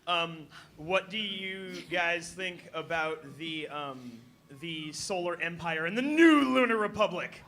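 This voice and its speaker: A man's voice: fairly high pitch, lively intonation, slow, hardly any pauses, a little hiss or hum. A man fan at a convention audience mic, asking a question hesitantly and joking along with a laugh. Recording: audience mic in a loud crowded hall.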